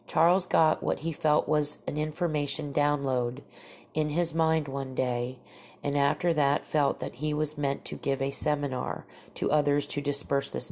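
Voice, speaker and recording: A woman's voice: deep voice, gravelly, a little mellow. A woman hosting a podcast, casually telling encounter stories in a stuffy, nasal voice from her allergies. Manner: rambling, with fillers and restarts. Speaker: podcast host.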